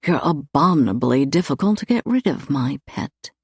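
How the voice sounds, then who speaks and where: A woman voicing an arrogant, flippant gentleman thief with a cool, teasing, formal manner in an audiobook. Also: low voice, expressive, moderate pace, breathy edge, dry recording, studio quality, exaggerated voice. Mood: coolly dismissive. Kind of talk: audiobook character dialogue.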